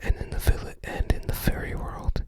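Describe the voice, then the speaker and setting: An ASMR creator whispering casual commentary in short, hesitant, rambling fragments. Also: fairly quick.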